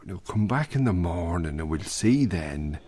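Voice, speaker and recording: A man's voice: low voice, expressive, unhurried, warm tone, pitched above the performer's own voice. A man playing a curt, commanding middle-aged police captain who teaches the pipes. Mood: patronizing.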